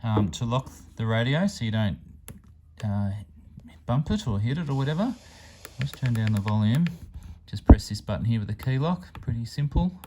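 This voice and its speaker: A man's voice: medium pitch, animated, normal speed, background noise. A man giving a casual, plain-spoken hands-on product demonstration as a hobbyist reviewer. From Australia.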